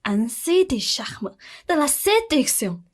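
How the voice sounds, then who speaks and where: Scheming society mother played by a woman, fairly high voice, affected, coaxing, calculating, radio comedy. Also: very animated, takes it slowly, full character voice. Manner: insistent, instructing, affected. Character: scheming, money-minded society mother, middle-aged, coaxing. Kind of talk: comic radio play acting in French.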